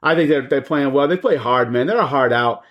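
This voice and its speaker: A man's voice: mid-range voice, brisk, dull audio. Easygoing man in his forties. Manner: easygoing, many fillers. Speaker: podcast co-host. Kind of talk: casual sports chat.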